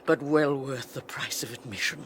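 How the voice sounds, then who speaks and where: An actress playing a domineering, cruel wife who mocks with theatrical scorn and cackling laughter in a radio drama. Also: female voice, extremely low pitch, normal speed, slight background noise. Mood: gloating. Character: domineering wealthy wife, cruel, mocking, triumphant. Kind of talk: 1980s radio drama acting.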